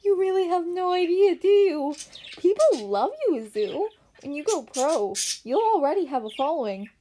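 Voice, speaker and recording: A woman's voice: very high voice, lively intonation, moderate pace, warm tone, slight background noise, voice pushed up. A woman voicing a gleeful, teasing male friend in an amateur story reading.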